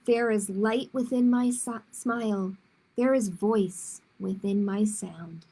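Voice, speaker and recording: A woman's voice: medium pitch, slow pace, warm tone, husky, low-quality recording. A woman hosting a children's storytime, reading picture books aloud in simple phrases with casual asides to her listeners. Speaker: children's librarian, storytime host.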